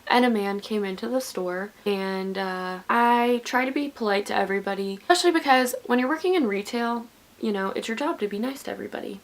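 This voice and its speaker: A woman's voice: mid-range voice, fairly quick, poor audio. A woman in her twenties telling a story in a rambling, chatty way while getting ready. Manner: rambling, chatty with many likes. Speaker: vlogger.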